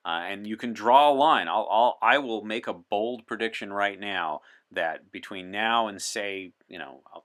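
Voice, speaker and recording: A man chatting as an interview guest, earnest and deliberate, with playful dry humor. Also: on the low side, fairly bright tone. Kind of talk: in-character interview chat as a time traveler from 1964.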